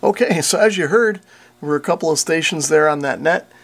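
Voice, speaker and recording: A man's voice: on the high side, fairly quick, creaky. A man in his forties giving casual how-to narration as a repair channel host.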